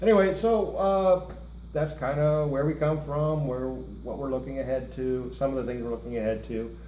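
A middle-aged man rambles casually through his thoughts, tossing in joking asides. His voice is mellow and mid-pitched. It echoes around a roomy indoor space over a faint hiss. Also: fairly even intonation, normal speed. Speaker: co-host of a music reaction show. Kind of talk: casual chat. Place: indoor room, seated at a table before a lit curtain.

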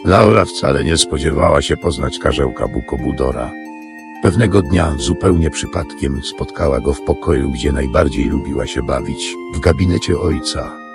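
A man with an extremely low voice tells a children's fairy tale as narrator of an audio drama. The voice is gravelly, with music clearly playing behind it.

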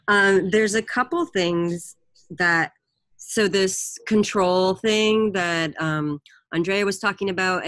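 A woman moderating an online artist panel, relaxed and thinking aloud as she sets up questions. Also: fairly low pitch, dry recording. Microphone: video call.

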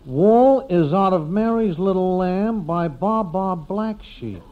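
Confidential, slangy American racetrack tout, clipped but swooping delivery, tinny 1950s radio comedy. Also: male voice, high-pitched, lively intonation, takes it slowly, background noise. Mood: insistent.

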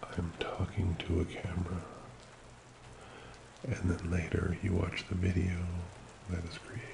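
Low, creaky, hushed ASMR man. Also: completely flat delivery, background noise. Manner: hushed, often slipping into whisper, unhurried and soothing. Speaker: ASMR host. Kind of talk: soft-spoken ASMR personal attention, slow guided relaxation.

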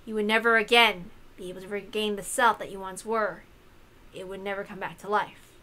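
Serious young woman, as read by a woman, bright voice. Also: medium pitch, fairly even intonation, a little fast, natural voice. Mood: grave, thoughtful. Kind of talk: acted visual novel dialogue.

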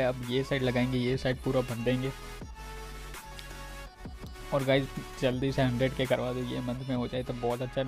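Flat-toned Indian male gamer over music. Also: mid-range voice. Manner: informal, addresses viewers directly. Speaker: gaming video creator. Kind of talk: casual video game commentary.

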